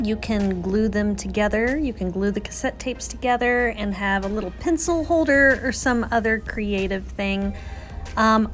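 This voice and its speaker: Chatty woman narrating crafts over music. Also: mid-range voice. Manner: chatty, self-deprecating. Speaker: craft vlogger, host. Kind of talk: casual craft tutorial voice-over. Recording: music under the voice.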